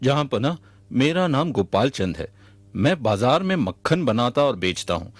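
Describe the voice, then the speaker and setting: A man's voice: medium pitch, big pitch swings, normal speed, dry recording. A man voicing an honest, humble cartoon merchant, earnest and respectful. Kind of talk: Hindi cartoon voice acting. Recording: music behind the voice.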